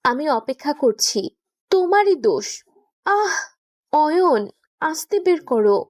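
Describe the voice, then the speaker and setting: Woman acting a high-pitched, whiny young sweetheart. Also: sing-song, slow pace, darkish tone, dry recording, studio quality, exaggerated voice. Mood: pained, pleading. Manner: whining, sulky, pleading. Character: young woman in love, childish, pouting, whiny wife or girlfriend. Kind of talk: romantic audio story acting.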